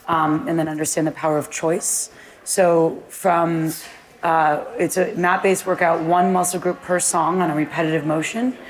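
A middle-aged woman with a deep, husky voice, speaking as a guest in a live onstage conversation. She talks in long, flowing runs and laughs often. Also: a little hiss or hum, low-quality recording. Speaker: guest, fitness class founder. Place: event space before a live audience.

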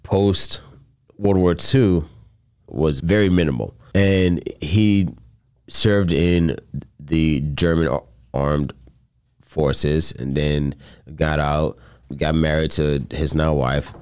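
A man with a strikingly deep, smooth, resonant voice recounts a story in relaxed conversation. He talks earnestly in loose, rambling phrases and drops casual swears along the way. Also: slow, pauses often.

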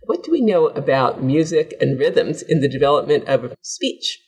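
Male host, slightly husky voice, enthusiastic questions, interview podcast. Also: fairly high pitch, big pitch swings, moderate pace, low-quality recording. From southern California.

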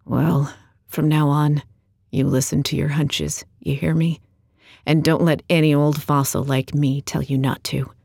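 A woman voicing an older man with a kindly, gruffly encouraging manner in an audio story. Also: sits low, little pitch movement, measured pace, darkish tone, no room sound, studio quality, pitched below the performer's own voice, heavily acted. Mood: gruff encouragement. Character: warm older man, self-described old fossil, gruffly encouraging.

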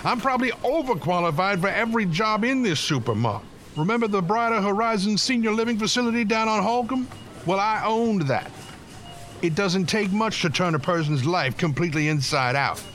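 High-pitched, proud, down-on-his-luck homeless man played by an actor. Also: expressive, fairly quick, fairly bright tone, soft background music. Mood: bitter, impassioned. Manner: blunt, emphatic, colloquial, swearing. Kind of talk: full-cast audio drama acting.

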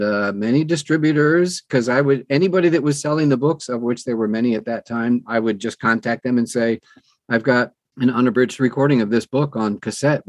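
A middle-aged or older man with a medium-pitched voice speaks as a guest in an interview. He tells anecdotes in a casual, conversational way and acts out what people said. The recording is dry, with little room sound. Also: dry recording. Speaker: interview guest, audiobook narrator.

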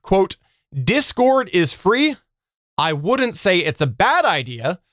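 Clear, higher-voiced male podcast host. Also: a bit bright, no echo, clean studio sound. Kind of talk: casual podcast hosting, reading listener feedback.

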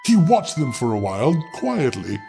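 A man's voice: medium pitch, wildly swooping pitch, moderate pace, gravelly, dark tone. A man narrating a fairy tale as a storyteller, theatrical and impish in his delivery. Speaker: storyteller, host. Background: music.

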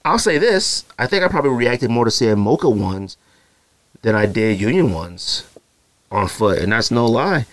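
A man's voice: mid-range voice, normal speed, no echo. Slangy man riffing on a podcast. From Arizona. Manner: slangy, rambling, mimics other people with animated asides. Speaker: podcast host. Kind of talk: casual solo podcast talk.

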